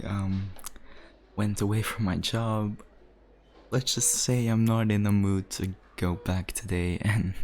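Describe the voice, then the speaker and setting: A caring young adult brother voiced by an actor in an ASMR roleplay, talking slowly and tenderly to his sister. His delivery is soft and a little hesitant, with small breathy chuckles between phrases. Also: sits low, a little hiss or hum. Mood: affectionate, reassuring.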